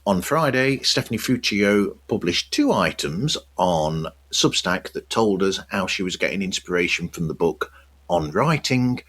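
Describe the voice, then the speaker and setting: Give the voice of a man presenting a podcast. He has a low-pitched voice and reads scripted news items and show notes in a narrating style. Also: lively intonation, measured pace, fairly bright tone. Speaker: podcast host.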